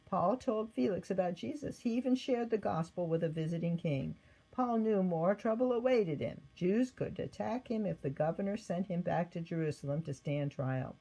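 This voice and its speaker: Husky-voiced woman reading a story aloud. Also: on the low side, moderate pace, poor audio. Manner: expressive read-aloud with acted quotes. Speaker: storybook reader. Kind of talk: scripted narration of a children's Bible story.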